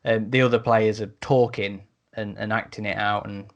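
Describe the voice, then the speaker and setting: A man hosting a casual discussion, putting questions in an informal, halting way. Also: on the low side, level tone. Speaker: podcast host.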